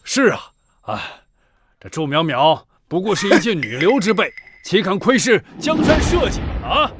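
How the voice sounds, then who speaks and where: An actor playing a sneering, fawning court official in a period drama, mocking and sycophantic. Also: male voice, sits high, sing-song, normal speed, fairly bright tone, slight background noise.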